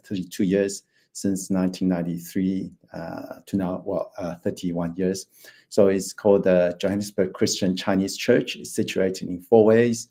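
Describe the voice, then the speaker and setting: A man in his fifties, originally from Taiwan, speaks over a video call as a financial planner giving a presentation. His voice sits low and sounds soft and mellow. He hesitates often, with many fillers and restarts. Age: 50s. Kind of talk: online presentation.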